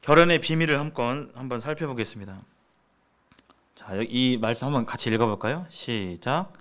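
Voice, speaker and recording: A man preaching and teaching at a church seminar in an earnest, emphatic, exhorting way, repeating key words deliberately. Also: mid-range voice, animated, fairly bright tone.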